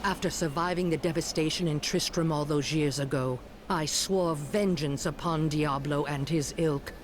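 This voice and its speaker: An actress playing a hardened, vengeful demon hunter in a fantasy game, stern and deliberate. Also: female voice, deep voice, background noise, natural voice. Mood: cold resolve. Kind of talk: dramatic fantasy game acting.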